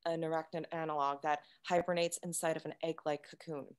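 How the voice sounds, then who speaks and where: Secretive android science officer, read by a woman: lowish voice, measured, coolly explanatory, sci-fi screenplay. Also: little pitch movement, smooth, lightly acted. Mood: matter-of-fact.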